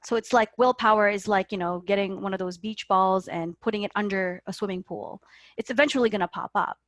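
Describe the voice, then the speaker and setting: A woman's voice: medium pitch, a little fast, no room sound. Earnest woman coaching, dry close sound. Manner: earnest, often tags sentences with "right?". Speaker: guest life coach. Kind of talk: motivational interview talk.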